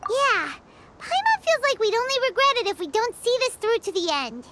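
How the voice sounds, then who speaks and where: A woman voicing a tiny, childlike fairy girl companion in a video game, with a squeaky voice. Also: sing-song, moderate pace, sharp tone, a little hiss or hum, heavily acted. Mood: earnest. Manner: refers to herself by name, childlike.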